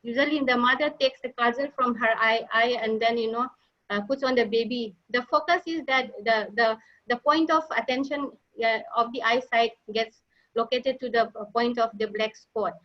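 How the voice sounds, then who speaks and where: A woman giving a slide presentation as a nurse leader, halting often with fillers and restarts. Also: on the high side. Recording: dull lo-fi online audio.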